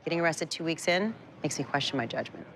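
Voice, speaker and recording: A woman's voice: sits low, animated, fast talker. An actress playing a stern female federal agent leading her team, dryly reprimanding a new hire. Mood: reproachful. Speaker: special agent in charge.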